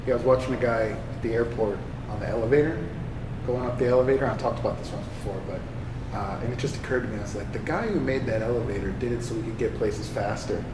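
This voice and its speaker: A man's voice: medium pitch, fast. A man from Virginia hosting a podcast, musing and agreeable, with some profanity. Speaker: podcast host. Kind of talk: casual podcast conversation. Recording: echoey room, distant mic, noisy.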